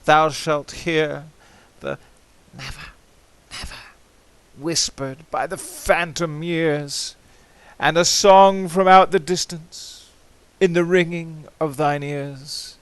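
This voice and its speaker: A man giving a theatrical, impassioned recitation of poetry, swinging between hushed and ringing delivery. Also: a little high, steady tone, slow, clean tone, no echo. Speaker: amateur poetry reader.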